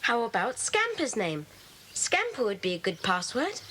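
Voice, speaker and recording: Woman as an outspoken, high-voiced cheeky schoolboy. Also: huge pitch swings, normal speed, pushed and bright, exaggerated voice. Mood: eager. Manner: outspoken, defiant. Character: cheeky, argumentative schoolboy club member. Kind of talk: children's audio drama acting. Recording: noisy old cassette.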